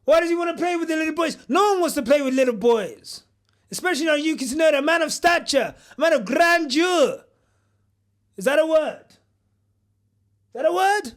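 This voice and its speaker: Mock-pompous man, high voice. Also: lively intonation, moderate pace, pauses often. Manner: mock-pompous, boastful, jokey asides. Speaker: comedic news host. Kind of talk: parody news commentary.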